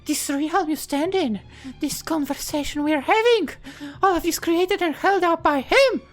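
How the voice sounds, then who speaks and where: A man plays a stern female believer from a visual novel, pitched far above a normal speaking voice. She is grandiose and admonishing, with faint music underneath. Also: moderate pace. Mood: stern warning.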